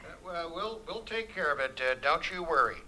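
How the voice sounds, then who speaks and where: A man's voice: fairly high pitch, expressive, moderate pace, bright, forceful tone. Man playing a distracted police sergeant, noisy recording. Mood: indifferent, placating. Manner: hesitant, distracted, mumbling fillers. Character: bored, slow-witted, noncommittal police desk sergeant. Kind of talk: old-time radio suspense play acting. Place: live theatre stage. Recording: clear background noise, stage recording.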